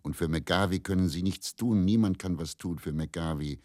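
A male actor voices an agitated man in a radio drama, pressing and blunt. The voice is low-pitched but jumps upward in urgency, and the delivery is hasty. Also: moderate pace, darkish tone, dry recording, studio quality, close to an everyday voice. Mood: urgent, alarmed.

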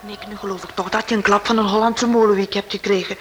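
A woman's voice: mid-range voice, steady tone, quick pace, natural voice. An actress playing a feisty, impatient wife in her thirties in a radio drama, scolding sharply. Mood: exasperated. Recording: faintly noisy radio play recording.

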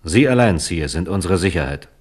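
Bitter old protestant lord in an old radio play, super deep voice, grave. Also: male voice, expressive, brisk, breathy edge. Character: embittered older Protestant nobleman, pleading. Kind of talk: 1970s radio drama acting.

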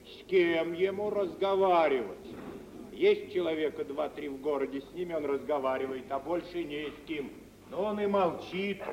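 An actor playing a stocky middle-aged café keeper in a radio drama, knowing and sententious, speaking in old folksy Russian vernacular. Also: male voice, a little high, a little mellow, lightly acted. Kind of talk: mid-century Russian radio theatre acting. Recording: dull hissy 1950s radio-play recording, long reverb.

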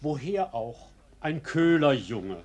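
An actor playing a smooth-talking arsonist fresh from prison in a German radio play, courteous and mock-humble, with insinuating politeness. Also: male voice, medium pitch, sing-song, takes it slowly, a little mellow, a little hiss or hum. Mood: suave, ironic.

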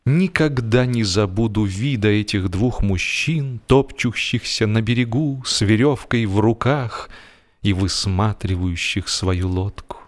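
A man with a fairly low voice reads humorous literary prose as an audiobook narrator. His storytelling is measured and expressive, with deliberate phrasing.

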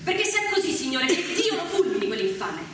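An actress playing an outspoken, loyal maid in a stage tragedy, speaking with earnest emphasis. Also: female voice, very high-pitched, sing-song, fast. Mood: earnest pleading. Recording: very echoey, distant, noisy stage recording.

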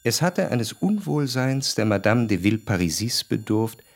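A man playing a refined, observant first-person narrator in a radio play, reciting literary prose with measured, deliberate phrasing. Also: mid-range voice, big pitch swings, no room sound. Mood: reflective, observant.

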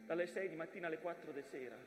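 Actor as high-voiced, nostalgic, wounded mountain. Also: male voice, very fast, reverberant, long reverb tail, close to an everyday voice. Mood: grim. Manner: lyrical, emphatic repetitions. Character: male mountain Hermada, nostalgic, wounded old war witness. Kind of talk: theatrical monologue.

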